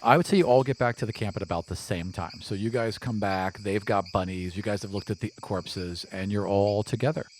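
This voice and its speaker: A man's voice: on the low side, brisk, hardly any pauses, slight background noise, rough recording. A man working as game master, narrating a tabletop adventure in a casual, descriptive way.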